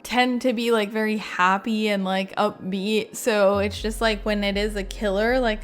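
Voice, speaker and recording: A woman's voice: mid-range voice, a bit bright. A woman giving casual, chatty gaming reaction commentary in an informal, tentative way, leaning on filler words. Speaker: gaming video creator, host. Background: background music.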